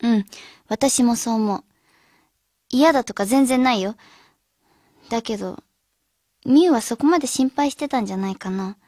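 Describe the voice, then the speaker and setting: Radio drama role: thoughtful schoolgirl leader played by a woman, gentle, fairly high, soft-edged, dry studio sound. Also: normal speed, long pauses, dry recording, natural voice. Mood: gentle, reflective. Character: thoughtful, caring high school girl leading her friends.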